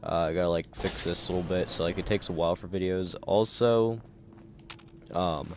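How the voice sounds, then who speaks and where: Tired deep-voiced male streamer. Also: steady tone, normal speed, a bit bright, a little hiss or hum. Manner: rambling, filler-heavy. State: tired, dry throat. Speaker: livestreamer. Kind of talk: casual livestream chat.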